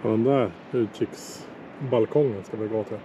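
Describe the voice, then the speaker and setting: A fairly low-voiced man talks in a relaxed, chatty way as he films a travel vlog outdoors. His voice comes through a handheld camera mic with clear background noise around it. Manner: relaxed, chatty, talking to family while filming. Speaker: travel vlogger. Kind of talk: casual narration while filming. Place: outdoor mountain viewpoint. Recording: noisy outdoor camera audio.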